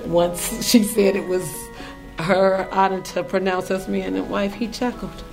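Frank, teasing bride from Chicago. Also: female voice, medium pitch, steady tone, a little slow, creaky, music in the background, rough recording. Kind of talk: casual interview answers.

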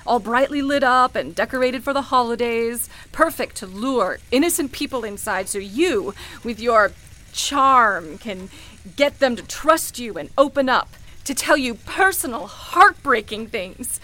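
An actress playing a grieving, skeptical woman in a radio play, emotional and halting, easily shaken as she speaks. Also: fairly high pitch, lively intonation, bright, forceful tone. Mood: bitter, accusing. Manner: halting, stammering, dramatic. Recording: music behind the voice.

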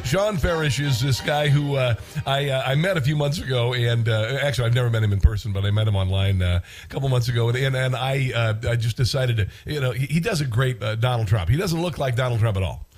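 An American man hosts a talk show in a casual, opinionated monologue. His mid-pitched voice sounds congested, and he wryly repeats phrases for emphasis, with some music behind him. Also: fast talker, few pauses. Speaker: talk radio host.

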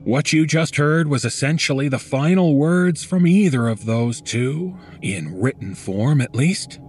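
A man's voice: mid-range voice, animated, unhurried, a little mellow, soft background music, natural voice. A man playing a callous, smug killer who narrates a horror audio story in a conversational, sardonic, crude way. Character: callous, smug male killer confiding in the listener.

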